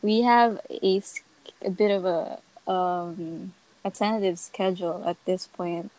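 A young woman from the Philippines co-hosting a casual podcast, easygoing and quick with short agreements. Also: lowish voice, steady tone, darkish tone, low-quality recording. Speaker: podcast co-host. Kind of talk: casual chat.

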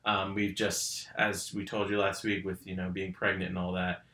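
A man's voice: low voice, level tone, normal speed, sharp tone, roomy sound. A young man co-hosting a true crime podcast, talking casually and hesitantly with lots of ums. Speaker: podcast co-host.

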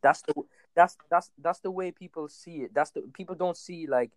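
A man's voice: a little high, moderate pace. A man in a heated casual discussion, insistent, repeating himself to keep the floor. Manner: insistent, interrupts to hold the floor.

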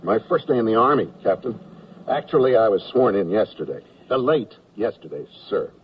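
An actor playing a polite, hapless newly drafted war correspondent, narrating and acting in a wry radio comedy. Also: male voice, lowish voice, lively intonation, a little fast. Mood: meek, flustered. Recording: dull, noisy radio recording.

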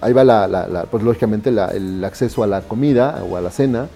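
A man on a talk show panel explaining things casually, in a somewhat halting manner. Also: on the low side, vocal fry, warm tone, soft background music. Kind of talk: casual explaining in group chat.

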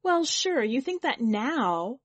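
Woman acting an anxious, high-pitched, blurting protester. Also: sing-song, unhurried, no room sound, muffled recording, full character voice. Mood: agitated pleading. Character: anxious, blurting, protesting young narrator, emotional and stubborn.

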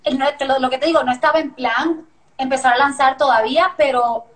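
Young woman, Puerto Rican accent, high voice, casual guest chat, candid, relaxed. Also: brisk. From Puerto Rico. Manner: easygoing, laughing, candid. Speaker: singer-songwriter guest.

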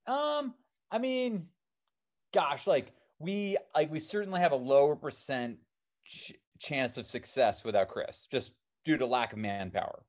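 A man's voice: a little high, animated, unhurried. Rambling American man, climber guest. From born in New Zealand, raised in Seattle. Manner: rambling, many fillers like "um" and "you know". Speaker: alpine climber and filmmaker, guest. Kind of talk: casual interview answers.

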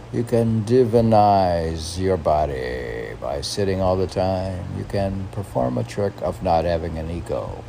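A man reading his own poetry and adding rambling casual asides in a musing, halting manner. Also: low voice, vocal fry, mellow, a little hiss or hum.

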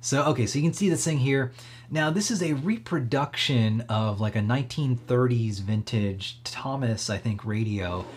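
A man with a slightly husky voice hosting a casual live tech demo, thinking aloud in a rambling, conversational way. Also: medium pitch, lively intonation, normal speed. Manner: conversational, rambling, frequent hedging asides. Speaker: livestream host demonstrating electronics projects.

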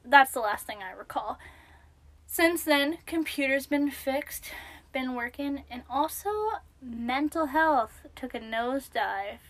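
A young woman co-hosting a podcast, chatting casually with a naturally high voice and a self-deprecating manner, sometimes dropping to a whisper. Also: slow pace, a bit bright. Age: young adult. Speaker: podcast co-host. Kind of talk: casual chat.

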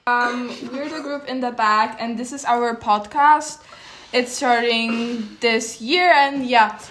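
A woman's voice: a little high, takes it slowly, background noise. A teenage girl from Poland co-hosting a podcast, talking casually and hesitantly with many fillers and restarts. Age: teens. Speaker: podcast co-host. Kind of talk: casual chat. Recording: echoey room.

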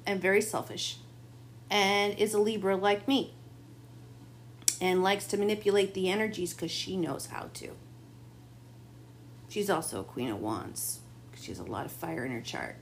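A woman doing a late-night tarot reading, talking in a rambling, confiding way, her voice gone a bit raspy. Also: mid-range voice, slight background noise. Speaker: tarot reader. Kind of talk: late-night spiritual monologue.

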